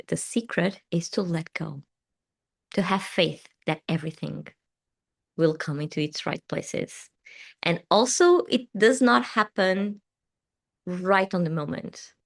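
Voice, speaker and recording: A woman with a Portuguese accent speaks English, her second language, in a fairly low voice. She hosts a live tarot and meditation stream, answering comments in a gentle, soothing way, and her sentences often trail off softly. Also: gravelly. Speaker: tarot reader and meditation host.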